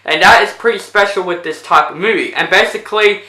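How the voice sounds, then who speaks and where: A man's voice: high voice, a little fast, reverberant, rough recording. Young man rambling through a movie retelling. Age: young adult. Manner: rambling, animated retelling with lots of fillers. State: voice getting tired. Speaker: movie reviewer. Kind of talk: casual video review. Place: indoors in front of a plain blue backdrop.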